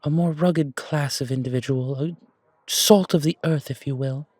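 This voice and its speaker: A man voicing a refined, gracious and quietly enigmatic confidant in an audio roleplay, with polished, deliberate, theatrical phrasing. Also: a little high, no echo, natural voice. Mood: pointed. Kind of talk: fan audio roleplay acting.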